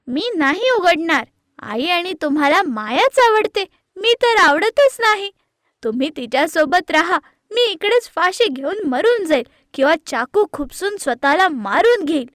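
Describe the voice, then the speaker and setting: Woman doing a bratty younger sister for a kids cartoon story, high voice, loud, bossy, threatening. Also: big pitch swings, measured pace, no room sound, studio quality, exaggerated voice. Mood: angry, sulking. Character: spoiled, bullying, tantrum-throwing younger sister, a girl. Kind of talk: animated moral story acting.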